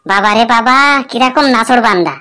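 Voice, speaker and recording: A man's voice: very high-pitched, a little fast, bright, forceful tone. Man voicing a bawdy, grumbling young village bachelor. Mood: hesitant, puzzled. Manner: colloquial, complaining, pleading. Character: Futo, bawdy young bachelor, pleading and evasive. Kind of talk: comic cartoon dialogue and muttered asides.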